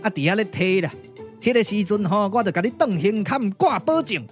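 A man's voice: high voice, animated, fairly quick, soft background music. A man with a Taiwanese accent voicing a cheeky, boastful, streetwise sworn brother, chatty and colloquial, in a puppet-theatre radio drama. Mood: breezy, boasting. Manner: chatty, colloquial, full of interjections.

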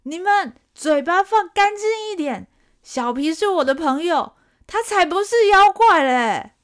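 Woman putting on a shrill, slow-talking boy, loyal and hot-headed. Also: lively intonation. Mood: angry shouting. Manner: shouting defiantly. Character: angry loyal young boy thief.

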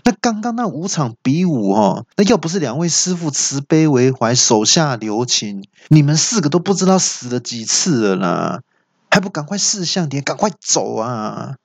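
A man playing a jittery, untrained young male apprentice with a shrill, whiny voice. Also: big pitch swings, moderate pace, creaky, husky, dry recording, exaggerated voice. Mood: scornful.

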